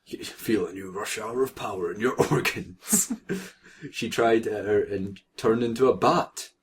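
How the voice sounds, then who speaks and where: A man's voice: lowish voice, a little slow, a little hiss or hum. A man co-hosting a comic read-aloud of fan fiction, joking in asides as he reads.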